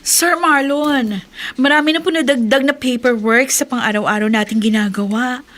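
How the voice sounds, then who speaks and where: Radio drama role: sharp-tongued Filipino female clerk, played by an actress, gossipy, teasing, high-pitched. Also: moderate pace, faint music behind, lightly acted. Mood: respectful, pleading.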